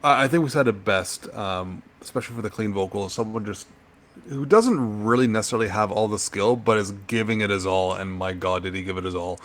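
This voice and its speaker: Deep-voiced thoughtful middle-aged man. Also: a bit bright. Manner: thoughtful, measured, hesitant with frequent ums. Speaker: podcast co-host. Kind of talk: casual music podcast chat.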